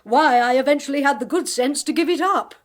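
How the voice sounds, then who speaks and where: An actress playing a sardonic middle-aged medium and former stage performer, with a British accent and a dry, flamboyant way of talking. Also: female voice, high voice, fairly quick, lightly acted. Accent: British English. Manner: sharp-tongued, dry, flamboyant. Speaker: clairvoyant. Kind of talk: 1980s radio drama acting.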